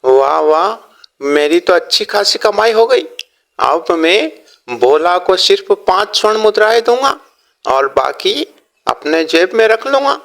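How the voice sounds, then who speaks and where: A greedy merchant from a cartoon folk tale, acted out by a male storyteller. His voice is pitched well up high, sly and wheedling. He draws out his folksy greetings and exclamations. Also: expressive, unhurried, vocal fry, a bit bright, full character voice. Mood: gloating.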